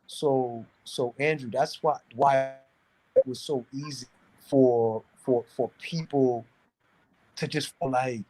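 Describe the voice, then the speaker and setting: Halting man. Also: medium pitch, fairly even intonation, warm tone, poor audio. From New York City, United States. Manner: halting, repeats words, searching for phrasing. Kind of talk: casual discussion, opinionated talk.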